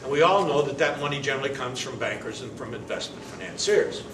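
An older man making an emphatic, indignant statement at a press conference, pausing deliberately between phrases, heard from across an indoor room. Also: mid-range voice, animated. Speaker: managing member of the facility's owners. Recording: roomy, distant, noisy camera audio.

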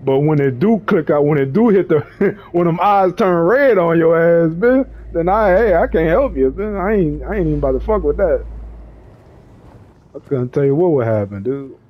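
A man's voice: fairly high pitch, expressive, normal speed, highs cut off. A man in casual chat, talking in heavy slang with lots of swearing and frequent laughter. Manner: slangy, profane, laughs often.

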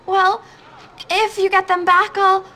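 A nerdy schoolgirl for a video game. Her voice is extremely high and sharp, melodramatic and bossy, always pushing for what she wants. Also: level tone, slow pace, exaggerated voice. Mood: pleading. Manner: pleading, overdramatic. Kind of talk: video game cutscene acting.

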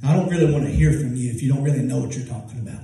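A man in his thirties with a Southern US accent, preaching a sermon at a church pulpit. He swings from loud, high-pitched preaching to low, soft passages. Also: very fast, creaky. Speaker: pastor. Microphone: handheld mic, filmed from far off. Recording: echoey church from far off.

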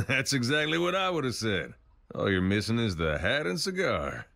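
A gravelly man as an amused older gunslinger in a video game. He is wry and easygoing, with big swoops in pitch. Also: big pitch swings, moderate pace, fairly bright tone.

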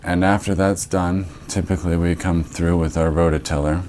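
A man's voice: sits low, fairly even intonation, normal speed, darkish tone, a little hiss or hum. A young American man, a farmer, explaining soil preparation in a matter-of-fact, methodical way in a documentary interview recorded indoors on a lapel mic. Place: living room, indoors.

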